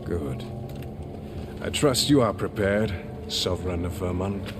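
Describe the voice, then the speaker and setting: An actor playing a coldly assured villainous lord in a fantasy game, speaking with imperious, archaic formality. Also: male voice, sits low, measured pace, background noise. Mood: cool command. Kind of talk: fantasy video game acting.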